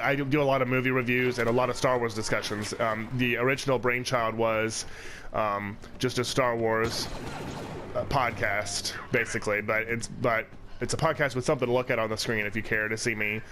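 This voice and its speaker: A man's voice: medium pitch, fairly even intonation, fairly quick, sharp tone, slight background noise. Stuffy-voiced man rambling over gameplay. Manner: rambling, with frequent fillers and restarts. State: congested from a cold, sniffling. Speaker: let's play channel host. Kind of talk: casual gameplay commentary.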